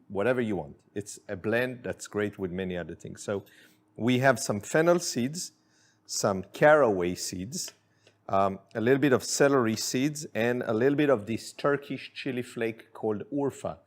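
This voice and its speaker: A middle-aged man from Israel, chatting easily through a cooking demonstration in his kitchen, with plenty of fillers. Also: on the low side. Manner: relaxed, friendly, with many fillers. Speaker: chef and spice company owner.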